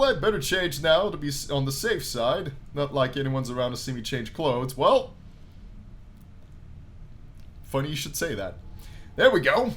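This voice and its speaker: A man playing a game on his channel, reading the story aloud as animated narration and dropping in casual, jokey asides. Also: medium pitch, slight background noise. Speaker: gaming YouTuber reading a visual novel aloud. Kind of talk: let's play commentary mixed with dramatic read-aloud narration.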